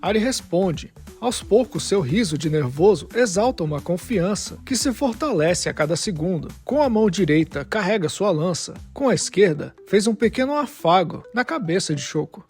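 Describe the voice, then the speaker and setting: High-voiced male story narrator. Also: lively intonation, moderate pace, hardly any pauses, darkish tone, soft background music. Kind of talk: scripted dramatic fiction narration.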